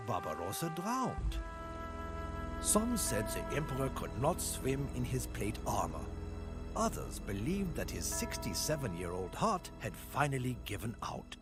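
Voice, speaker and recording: Very expressive, solemn male storyteller. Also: mid-range voice. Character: solemn male storyteller recounting medieval campaign history. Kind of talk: scripted dramatic narration.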